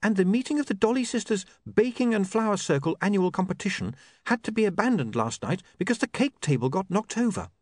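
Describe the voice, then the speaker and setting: A man's voice: high voice, very animated, fairly quick, few pauses, a little mellow, dry recording, clean studio sound, voice pushed up. A man voicing a prim and proper young woman reporter who speaks precisely and earnestly.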